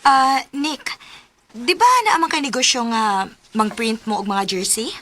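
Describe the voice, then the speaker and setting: A woman's voice: fairly high pitch, expressive, unhurried, a bit bright, faint music behind. An actress with a Cebuano accent playing a lonely young woman confiding in a close friend in a radio drama. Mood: friendly, teasing. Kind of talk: Philippine radio drama acting.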